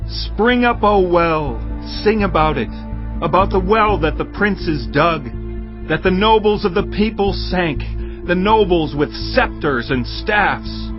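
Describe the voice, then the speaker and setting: A fervent Israelite man in an audio Bible drama. He declaims in a high voice, with music clearly behind and a slightly dull sound. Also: expressive, slow. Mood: exultant. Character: fervent male Israelite vowing and singing out.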